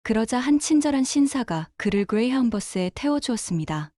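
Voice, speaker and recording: Fast-reading woman with a mellow voice. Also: on the high side, expressive. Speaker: interpreter voice-over. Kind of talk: read translation.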